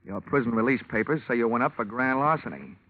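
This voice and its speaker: A man's voice: medium pitch, animated, quick pace, natural voice. An actor playing a wry, hard-boiled insurance investigator who narrates his own case, in 1950s radio drama style, on a muffled old recording. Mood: pressing. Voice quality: wisecracking, dry. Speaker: detective lead and narrator.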